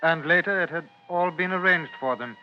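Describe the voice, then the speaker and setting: A man's voice: on the high side, moderate pace, soft background music. Male narrator on muffled vintage radio. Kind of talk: literary scripted narration, 1950s radio drama.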